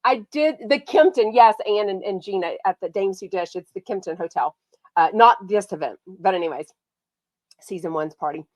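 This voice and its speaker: A woman from Texas, hosting a casual gossip livestream. She has a slight cold that makes her sound nasal, and she talks in a bubbly, excitable way. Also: mid-range voice, moderate pace, dry recording. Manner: bubbly and excitable, lots of "like" and "oh my gosh". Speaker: podcast host.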